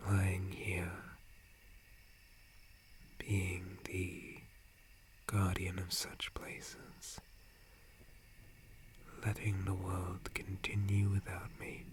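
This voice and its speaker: A man playing a gentle, calm, friendly dryad forest guardian in a breathy ASMR whisper, with a soothing manner. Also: low voice, a little hiss or hum. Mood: calm, reflective. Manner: slow, soothing, unhurried. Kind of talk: ASMR roleplay.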